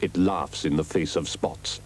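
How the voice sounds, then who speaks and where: A man's voice: extremely low pitch, fairly even intonation, measured pace, a little mellow. A man doing a droll, mock-earnest scripted advert voice-over on a noisy old TV recording.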